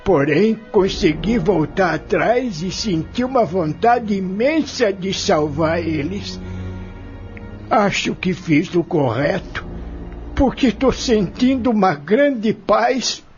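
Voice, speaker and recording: An actor playing a humble, weary old farmhand in an audio drama, calm and remorseful, with simple rustic speech. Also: male voice, sits high. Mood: reflective, grateful. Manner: slow, simple rustic speech with dropped grammar. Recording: dull lo-fi audio with music underneath.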